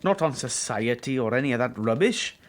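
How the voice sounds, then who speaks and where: A man's voice: medium pitch, expressive, fairly quick, natural voice. A man acting a grumbling, sardonic, contrary husband in a radio drama. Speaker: actor in radio play. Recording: faint background noise.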